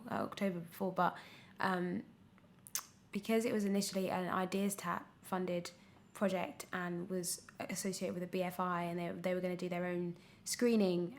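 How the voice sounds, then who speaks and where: A woman's voice: on the low side, vocal fry, a little mellow. Young British woman chatting as an interview guest. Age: 20s. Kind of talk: casual podcast interview chat.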